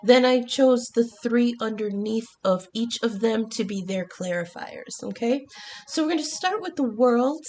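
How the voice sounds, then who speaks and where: A woman's voice: on the high side, unhurried, a little mellow. A woman with a congested voice giving a chatty tarot and astrology reading, full of ums and restarts, cursing now and then. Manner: swears casually. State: congested from the flu. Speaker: tarot reader.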